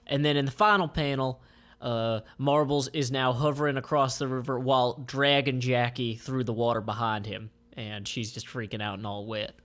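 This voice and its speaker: A man informally describing comic panels aloud as their creator, with halting, improvised phrasing. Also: mid-range voice, fairly even intonation, a bit bright.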